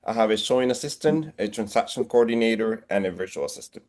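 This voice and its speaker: A man in his forties with a Puerto Rican Spanish accent and a fairly low voice. He speaks earnestly and motivationally, restarting phrases as he goes. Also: expressive, quick pace, a little mellow. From Puerto Rico. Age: 40s. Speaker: real estate agent guest. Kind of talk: interview on video call.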